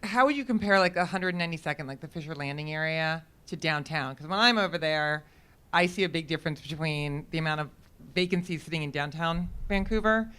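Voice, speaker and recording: A woman's voice: sits low, a little fast, a bit bright, clear, resonant tone. A woman in the audience asking a long, rambling question of a panel.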